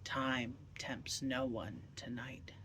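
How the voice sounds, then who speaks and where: A man recites poetry at a very unhurried pace. His mid-pitched voice is deliberate and theatrical, with a whimsical streak that suits a poet performing verse. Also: level tone, pushed and bright, slight background noise. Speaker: poet reading own poems.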